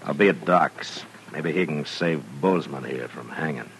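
A man's voice: a bass voice, moderate pace. A male actor playing a stern, weary frontier marshal in an old radio western. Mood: weary, troubled. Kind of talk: old time radio drama acting. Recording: noisy vintage radio recording.

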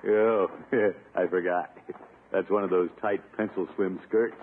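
An actor playing a pompous, excitable middle-aged uncle and former college athlete in a 1950s radio comedy, blustering and chuckling. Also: male voice, sits low, unhurried. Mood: jovial, flirtatious. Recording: tinny, noisy 1950s radio recording.

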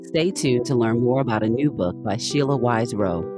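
A woman's voice: rumbling low, normal speed. A woman delivering scripted podcast narration as an announcer. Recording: music bed under the voice. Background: loud music.

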